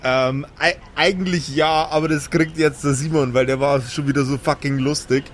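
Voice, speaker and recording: A man's voice: on the high side, fairly even intonation, moderate pace, slight background noise. A young man acting as game master in an improvised audio play, narrating animatedly with frequent restarts. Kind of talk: improvised narration and table chat.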